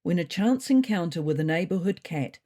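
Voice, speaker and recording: Female audiobook narrator: measured and expressive, soft-edged timbre, lots of pitch movement in scripted first-person stories.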